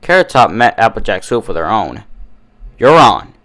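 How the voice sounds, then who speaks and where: A man's voice: fairly low pitch, sing-song, brisk, a bit bright. A man reading scripted story narration as a fan-fiction reader.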